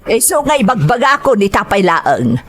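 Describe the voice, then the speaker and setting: A woman's voice: mid-range voice, big pitch swings, normal speed, fairly bright tone. A woman acting a domineering, nagging mother in a radio drama, scolding emphatically with an Ilocano Filipino accent.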